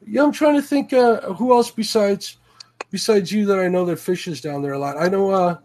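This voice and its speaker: A man's voice: sits high, normal speed, a little mellow, a little hiss or hum. A man from Chicago with a Chicago accent, hosting a fishing livestream in a rambling, self-correcting chat.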